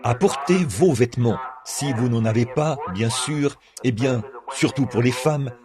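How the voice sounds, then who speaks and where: A hoarse man speaking as a preacher, with an unhurried, homely pastoral manner. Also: medium pitch, a crowd in the background. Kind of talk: French dub of a church sermon.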